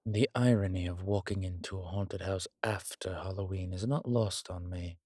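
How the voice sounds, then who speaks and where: An actor playing a suave, wry incubus demon in an intimate audio roleplay, speaking in a teasing, polished, deliberate manner with a husky, breathy voice. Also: male voice, low-pitched, mellow, no room sound. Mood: teasing, dry.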